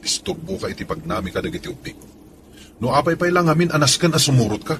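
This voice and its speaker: A man acting as a gruff, impatient husband and father in a radio drama. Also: a little high, animated, fast talker. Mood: irritated. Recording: clearly noisy, ambient background.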